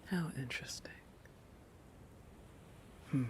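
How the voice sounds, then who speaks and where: A man voicing a possessive, oblivious shark protector in an intimate roleplay audio, halting and musing, often dropping into a breathy whisper. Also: close to an everyday voice. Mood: protective, clinical pretext. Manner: halting, musing, with thoughtful hums.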